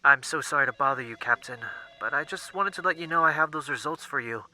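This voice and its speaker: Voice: medium pitch, fast talker, bright, forceful tone. A performer playing a nervous, polite lab technician on a phone call in an audio drama, hesitant and apologetic. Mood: eager, deferential. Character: nervous, eager, deferential young lab technician.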